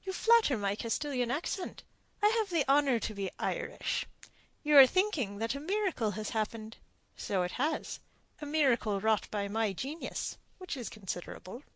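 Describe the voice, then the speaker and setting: A woman's voice: a little high, sing-song, normal speed, a bit bright, no room sound, low-quality recording. A woman reading an audiobook and voicing a self-assured, mocking sea captain with a dry wit. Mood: boastful. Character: sardonic witty Irish sea captain, man. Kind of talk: audiobook character voice.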